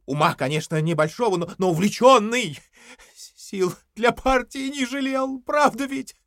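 A naive, anxious party faithful in an audio drama. He stammers and confides eagerly, the pitch leaping about in pleading bursts. The sound is very dry. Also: lively intonation, moderate pace, fairly bright tone, no room sound, heavily acted. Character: Parsons, simple, eager, frightened party loyalist.

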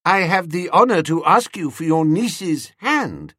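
A man voicing a cheerfully dim, breezy young gentleman suitor with airy manners in a comic audiobook. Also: on the high side, expressive, a little slow, dry recording, clean studio sound, pitched above the performer's own voice. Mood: brightly earnest.

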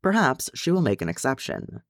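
A man voicing a good-natured young dwarf in an audiobook story, courteous, calm and quietly insistent. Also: medium pitch, normal speed, no room sound, clean studio sound, voice pushed up. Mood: calmly insistent.

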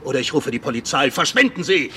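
An actor playing a stubborn, officious morgue official who talks curtly in a radio crime drama. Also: male voice, medium pitch, big pitch swings, fast, sharp tone, slight background noise. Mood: stern, dismissive. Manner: officious, curt. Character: stern, stubborn male morgue official guarding the door.

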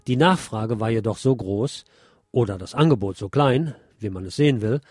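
A man's voice: fairly low pitch, lively intonation, normal speed, darkish tone, breathy edge, no echo. A man presenting scripted news on the radio, reading as a presenter.

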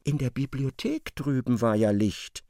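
A man's voice: medium pitch, measured pace, mellow, no echo, studio quality. A man narrating a literary radio drama in a scripted, storytelling style. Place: dry studio.

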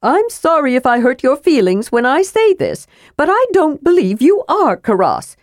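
A woman voicing an excitable, cheeky, childlike male kender adventurer who chatters eagerly in a fantasy audiobook. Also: high-pitched, moderate pace, no room sound, studio quality, pitched above the performer's own voice. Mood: scolding, matter-of-fact.

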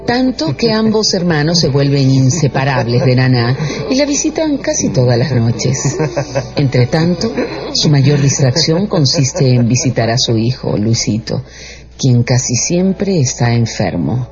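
A man's voice: medium pitch, sing-song, unhurried. A man narrating a radio dramatization in a scripted storytelling style. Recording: slightly dull sound with music underneath.

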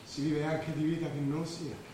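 A man's voice: a little high, flat delivery, normal speed, lightly acted. An actor playing a disillusioned war veteran in his late twenties in a stage drama, restless and wistful. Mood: wistful storytelling. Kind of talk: Italian stage drama acting. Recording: very echoey stage, distant, faint noise.

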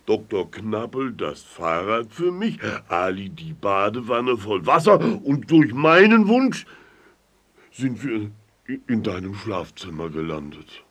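Slow, expressive earnest fairy-tale companion. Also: male voice, lowish voice, full character voice. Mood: regretful. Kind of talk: children's audio play acting.

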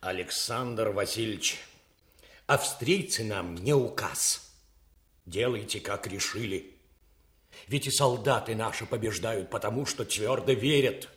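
A man's voice: fairly low pitch, measured pace, fairly bright tone. A man playing a loyal Russian general, fervent and respectful, in a radio drama. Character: loyal, earnest Russian general, devoted to his commander. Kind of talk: Soviet radio drama acting.

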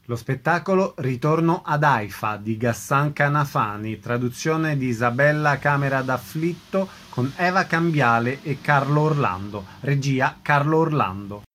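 Bright-voiced male radio announcer. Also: mid-range voice, a little slow, few pauses, faint music behind. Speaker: radio show presenter.

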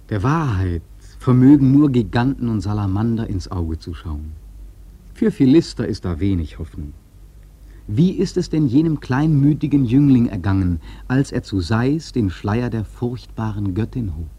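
An actor narrating a sea epic in a radio drama, solemn and rhetorical, with a husky, dark voice. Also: male voice, on the low side, no room sound. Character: grave, philosophical storyteller of a sea epic.

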